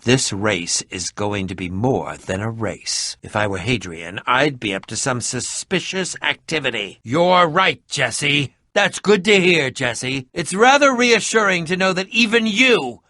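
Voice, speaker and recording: A man's voice: mid-range voice, expressive, unhurried, few pauses, bright, forceful tone, no echo, studio quality. An actor playing an eccentric, prickly male adventurer in a video game, with a pompous, melodramatic and sardonic manner. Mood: wry. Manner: theatrical, pompous, sardonic, formal wording. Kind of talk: animated video game voice acting.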